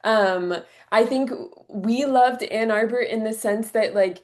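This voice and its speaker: A woman's voice: a little high, takes it slowly. Young woman from the bay area, easygoing, lots of likes, travel chat. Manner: upbeat, uses "like" often. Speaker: interview guest, traveler. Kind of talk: casual interview answers.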